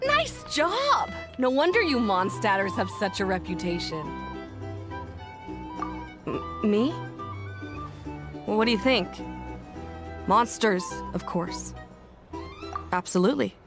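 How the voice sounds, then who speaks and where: A woman's voice: medium pitch, very animated, takes it slowly, long pauses, music in the background. A woman voicing a breezy adventurer in a video game, casual and dramatic like someone telling a tale. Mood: admiring. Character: breezy woman adventurer spinning grim survival tales.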